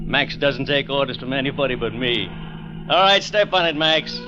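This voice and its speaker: An actor playing a gruff, stubborn police officer who argues emphatically in old radio crime drama style. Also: male voice, mid-range voice, expressive, moderate pace, close to an everyday voice. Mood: irritated, commanding. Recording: muffled old radio recording.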